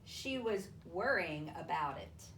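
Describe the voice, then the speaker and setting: A woman reading a children's storybook aloud to families, with expressive, deliberate delivery and drawn-out emphasis. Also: on the low side. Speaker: school superintendent reading a picture book aloud to families. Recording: roomy, distant mic with faint noise.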